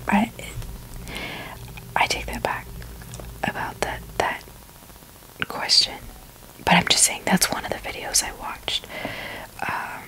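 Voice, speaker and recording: A woman's voice: mid-range voice, bright, forceful tone, rough recording. A young woman whispering a casual, rambling ASMR chat in a hesitant way, with phrases that trail off. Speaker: ASMR video creator.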